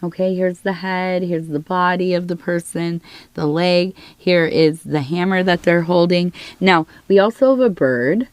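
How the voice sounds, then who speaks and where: Hoarse, low-voiced woman reading tea leaves. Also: a little slow, a little mellow, no echo. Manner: musing, reassuring, talks straight to the listener. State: hoarse, losing her voice from illness. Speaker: tea leaf reader. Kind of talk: soft-spoken divination reading, ASMR.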